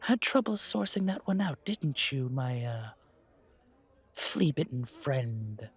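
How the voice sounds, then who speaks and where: A man voicing a pompous, disdainful vampire gentleman with fussy, formal speech in an audio roleplay. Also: mid-range voice, very animated, takes it slowly, husky, full character voice. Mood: mocking. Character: pompous haughty male vampire gentleman, disdainful yet protective.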